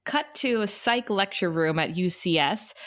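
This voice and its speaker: A woman's voice: lowish voice, expressive, unhurried, fairly bright tone. A woman narrating the stage directions of a script at a table read.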